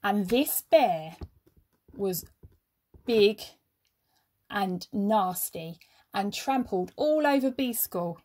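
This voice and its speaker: A woman reading a children's story aloud like a teacher, with expressive, measured phrasing and emphatic pauses. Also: a little high.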